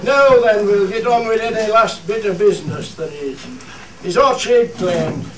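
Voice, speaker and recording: A man's voice: sits high, measured pace, a crowd in the background. A man playing a hearty old shepherd who chairs a village meeting in a radio play, with a Cumbrian accent and a homely, rallying manner. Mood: businesslike, genial. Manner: homely, rallying, repeats phrases. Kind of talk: dialect radio play acting. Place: theatre studio. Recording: roomy, distant mic, faint noise.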